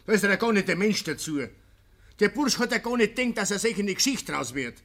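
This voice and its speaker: An actor playing a reluctant, apologetic village neighbour with a Bavarian accent in a radio drama, hesitant and conciliatory. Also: male voice, high voice, expressive, fast, fairly bright tone. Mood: insistent. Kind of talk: German radio drama acting in dialect.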